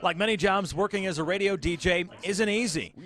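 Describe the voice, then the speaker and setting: A man reporting the news on television with a clear, scripted broadcast delivery. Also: high voice, a little fast, a bit bright.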